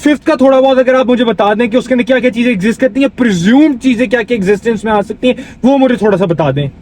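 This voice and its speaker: A very high-pitched Pakistani father played by a man presses his point on a prank call. He is condescending and fussy, circling back over his words and dropping in English. Faint car noise sits behind him. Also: racing, a little mellow. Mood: condescending, probing. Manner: insistent, repeats himself, mixes English into Urdu. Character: pushy, condescending, fussy father seeking a tutor. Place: inside a car while driving.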